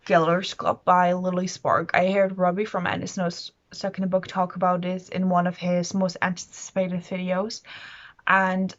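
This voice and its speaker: A woman's voice: lowish voice, completely flat delivery, moderate pace, highs cut off. A woman talking casually as a book vlogger, in an enthusiastic, rambling and self-deprecating way. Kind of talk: casual chatty monologue to camera.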